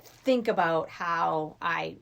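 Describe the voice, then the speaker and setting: A woman's voice: lowish voice, takes it slowly, gravelly, fairly bright tone. A middle-aged woman chatting casually as a podcast guest, telling anecdotes and voicing what people said. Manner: anecdotal, acts out quoted dialogue. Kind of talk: casual film discussion.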